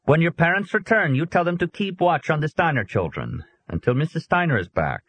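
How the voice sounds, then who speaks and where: A man voicing a brisk adult policeman who speaks with a Swedish accent, firm and questioning. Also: a little high, very animated, fairly bright tone, slightly rough, no echo, voice pushed up, exaggerated voice. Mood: stern.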